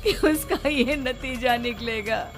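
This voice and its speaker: A high-pitched, guilt-ridden middle-aged mother acted by a woman in a dramatised horror tale. She pleads tearfully and desperately over prominent background music. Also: slow. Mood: tearful, remorseful. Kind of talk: dramatised Hindi moral horror story.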